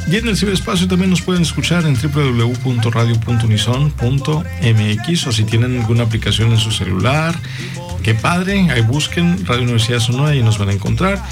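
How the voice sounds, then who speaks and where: A man hosts a live radio show from a studio, chatty and expressive in his delivery. Music plays clearly beneath his voice. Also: mid-range voice. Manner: warm, chatty, expressive, lively intonation. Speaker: radio host. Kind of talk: live university radio chat.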